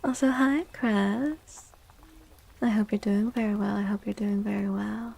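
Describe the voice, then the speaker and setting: A woman speaks softly and close to the microphone as an ASMR live streamer. Her voice is dark and relaxed, with plenty of gentle creak, and she often slips into whispers. She takes her time, repeating phrases in an unhurried, gentle chat. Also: medium pitch. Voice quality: soft, breathy, often slipping into whispers. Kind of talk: soft-spoken ASMR chat with live viewers.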